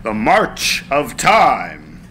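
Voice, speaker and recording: Grandiose 1940s newsreel announcer, read by an actor, bright and animated. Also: male voice, mid-range voice, very slow, a little hiss or hum, full character voice. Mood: stirring. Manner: stirring announcer delivery. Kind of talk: staged script reading.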